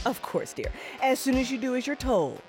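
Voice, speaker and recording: A woman's voice: high voice, sing-song, normal speed, darkish tone, soft background music. A woman playing a possessed, evil mother, prim, scolding and condescending, in a horror-comedy audio drama. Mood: smugly condescending. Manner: sickly-sweet, scolding.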